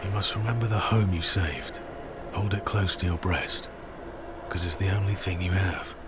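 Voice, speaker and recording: Deep voiced actor as a somber warrior guy, brooding n grieving, fantasy game, loud music under him. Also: normal speed, lightly acted. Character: somber, grieving male warrior bent on revenge. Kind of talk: dramatic fantasy game acting.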